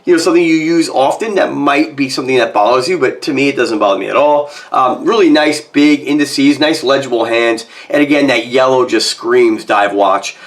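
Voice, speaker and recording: Casual reviewer: North American man, 40s, medium pitch, slightly hoarse with a cold, conversational and emphatic. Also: moderate pace, hardly any pauses. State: getting over a cold. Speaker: watch reviewer. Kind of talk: casual product review to camera. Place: small home office, indoors.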